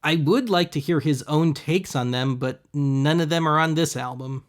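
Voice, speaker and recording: A man's voice: on the high side, normal speed. A man hosting a thrift-shopping video, giving casual voice-over commentary in a wry, sarcastic manner.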